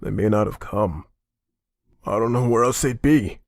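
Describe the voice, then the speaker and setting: A man's voice: on the low side, moderate pace, creaky, no echo, clean studio sound. A man playing a weary survivor, an anxious husband and father, in a dubbed comic drama. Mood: bewildered, worried.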